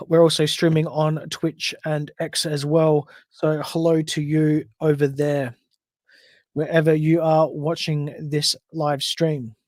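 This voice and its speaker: A man's voice: a little high, flat delivery, slow pace, darkish tone, dry recording. An Australian man hosting a solo podcast livestream, chatting casually and rambling with frequent self-corrections. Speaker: podcast host.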